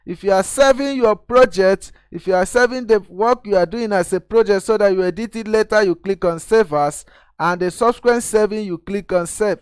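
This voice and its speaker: A man's voice: high voice, normal speed, clear, resonant tone, dry recording. A man from Nigeria, host of his own channel, explaining a video editor step by step in a screen-recorded tutorial and calling viewers guys.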